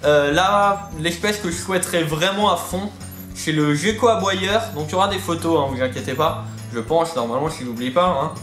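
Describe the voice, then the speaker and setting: A high-pitched male voice, talkative and rambling as he hosts a casual video. The room echoes a little and soft music plays behind him. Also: fairly quick. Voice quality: atypical articulation, slight lisp-like speech impediment. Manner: talkative, rambling, repeats himself. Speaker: YouTube host of a reptile hobby channel. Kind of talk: casual chatty FAQ video. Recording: roomy echo, faint music behind.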